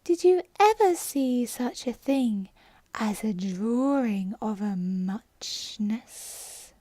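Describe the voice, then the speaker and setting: A woman voicing a sleepy, sulky little male dormouse in a storybook reading, with a slow, drowsy drawl. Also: on the high side, expressive, mellow, no echo, exaggerated voice. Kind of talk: storybook character voice.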